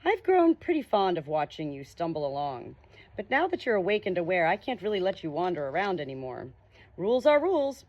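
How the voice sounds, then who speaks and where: A woman's voice: on the low side, sing-song, dull audio. A woman voicing a creepy, smug male ghost in an audiobook, condescending and theatrical, drawing out his words. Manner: condescending, drawn-out, dramatic delivery.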